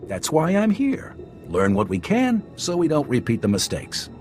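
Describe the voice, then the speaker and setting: Animated, frank army captain. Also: male voice, a little high, faint music behind. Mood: grave, determined. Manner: brisk, candid, colloquial. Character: gruff, frank male army captain, a plain-spoken commander. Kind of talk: scripted video game dialogue.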